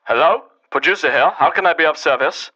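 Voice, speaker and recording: Man playing a highish-voiced, persuasive music producer. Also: fairly quick, pushed and bright, poor audio. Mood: eager, flattering. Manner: salesman-like. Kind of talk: children's story character acting.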